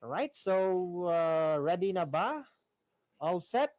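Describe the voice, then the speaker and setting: A woman with a Filipino accent co-hosting, giving unscripted reminders in a hesitant, halting way. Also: lowish voice, lively intonation, a little mellow, no room sound. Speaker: co-host.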